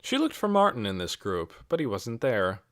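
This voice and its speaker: A man reading a children's novel as scripted audiobook narration, in an expressive storytelling manner. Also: medium pitch, moderate pace, no echo, studio quality.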